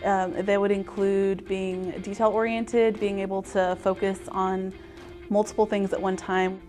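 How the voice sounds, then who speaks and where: A woman's voice: medium pitch, flat delivery, unhurried, darkish tone. A woman working as a police dispatcher, explaining her job in a documentary interview. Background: background music.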